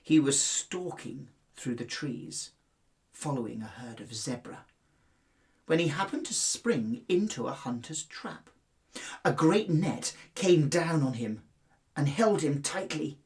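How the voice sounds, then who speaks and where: A middle-aged man with a British accent, speaking as a headmaster to children in an expressive, carefully phrased storytelling style. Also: a little high, slow pace. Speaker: school headmaster reading to pupils.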